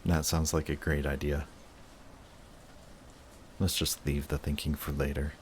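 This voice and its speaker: A man playing a guarded villain in love with a rival, in an intimate audio roleplay with halting, emotional delivery. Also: extremely low pitch, little pitch movement, a little hiss or hum. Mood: soft, affectionate. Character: lovestruck male villain, guarded, flustered, confessing love to rival.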